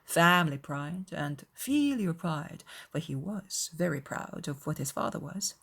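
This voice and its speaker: A woman voicing an aggrieved young gentleman in a dramatized audiobook, confiding and self-justifying, with measured, earnest, formal delivery. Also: low voice, animated, vocal fry, exaggerated voice. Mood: measured, explaining.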